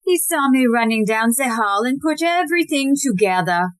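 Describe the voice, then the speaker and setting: Woman playing a high, menacing murderous society wife. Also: animated, normal speed, no echo. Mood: cold, menacing. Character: cold, menacing murderous society wife holding people at gunpoint. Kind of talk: audio play acting.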